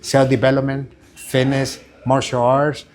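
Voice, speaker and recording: A man's voice: medium pitch, completely flat delivery, measured pace, a little hiss or hum. A middle-aged man from Venezuela with a Venezuelan Spanish accent, a fighter and coach giving rambling interview answers in a gym. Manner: rambling, many fillers and restarts.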